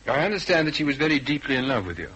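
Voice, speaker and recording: A man playing a shrewd British gentleman detective in a radio drama, questioning people in a measured, clipped, polite and persistent way. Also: mid-range voice, big pitch swings, highs cut off, a little hiss or hum. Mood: cool, probing.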